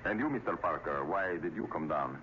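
A mysterious stranger speaks with formal, guarded politeness and a sinister edge. The 1950s radio horror recording is very muffled and loud with noise. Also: male voice, low-pitched, animated, a little fast. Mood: guarded courtesy. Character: mysterious, courteous male stranger, sinister newcomer.

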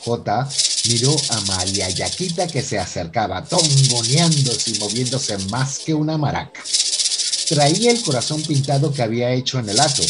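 A man's voice: mid-range voice, heavy background noise. A man giving scripted narration for a Spanish-language radio soap.

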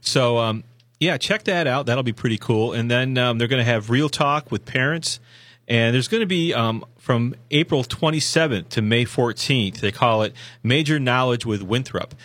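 A man co-hosting a college radio show, hoarse from a sinus infection, talking in a rambling, folksy way with lots of self-corrections and fillers. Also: medium pitch, normal speed, a bit bright, no echo. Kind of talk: casual radio promo talk. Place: radio studio.

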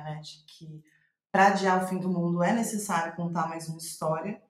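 A woman with a Brazilian Portuguese accent in a reflective interview, thinking aloud haltingly and trailing off. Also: medium pitch, roomy sound. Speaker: playwright, podcast guest.

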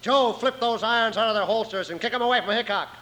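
A man's voice: high-pitched, fast talker, bright, forceful tone. A man playing a menacing, gloating villainous rancher with an American accent, barking orders in an old Western radio drama. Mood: gloating, commanding. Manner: commanding, sneering. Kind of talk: 1950s Western radio acting. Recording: noisy old radio recording.

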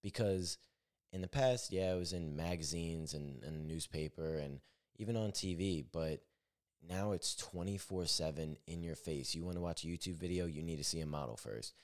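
Brief: young male podcast host, extremely low deep voice, candid rambling solo monologue. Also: moderate pace, darkish tone. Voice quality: naturally deep voice. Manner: rambling, self-correcting, candid.

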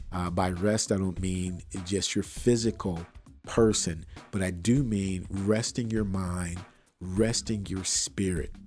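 Earnest, bassy-voiced male podcast host. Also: a little mellow. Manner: earnest, preachy and encouraging, repeats phrases for emphasis. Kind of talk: motivational podcast monologue. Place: untreated room with wires, not acoustically treated.

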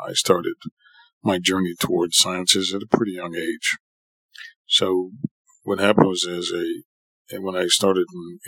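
A man's voice: sits low, bright, forceful tone, breathy edge, dry recording, poor audio. A middle-aged man, telling his own story for a podcast in an earnest way, often rephrasing for emphasis. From New York City, United States. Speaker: podcast guest, surgeon and professor. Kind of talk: autobiographical monologue for a podcast.

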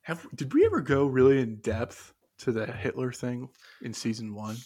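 A man's voice: mid-range voice, lively intonation, normal speed, a little mellow, husky. A man co-hosting a casual podcast, rambling and hesitant, full of fillers and restarts as he reads aloud from his notes. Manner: muses aloud while reading from notes. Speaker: podcast co-host.

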